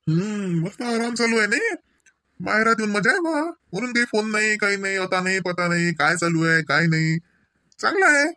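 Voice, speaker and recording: A young husband from a comedy cartoon, voiced by a man, with a fairly high voice and a Vidarbha Marathi accent. He is chatty and mock-reproachful, forever teasing, and sounds close and dry. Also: lively intonation, a little fast, pushed and bright, no room sound, full character voice. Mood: sarcastic. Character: teasing, needling young husband at his in-laws.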